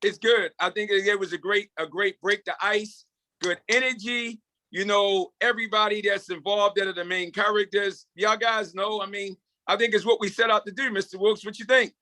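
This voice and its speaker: Male co-leader, high and forceful, rambling casual pep talk on a video call. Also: steady tone, normal speed, dry recording. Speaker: project co-leader. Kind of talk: casual motivational group talk.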